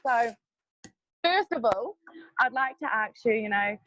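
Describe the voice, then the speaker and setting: A woman's voice: fairly high pitch, expressive, unhurried, a bit bright, no echo, dull audio. A woman hosting a casual interview, friendly and a little hesitant, with many "um"s. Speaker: interviewer, host.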